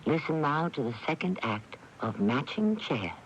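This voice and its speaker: A man's voice: on the high side, big pitch swings, normal speed. A man hosting a 1980s radio mystery show with a scripted dramatic introduction. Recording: muffled 1980s AM radio broadcast, light noise.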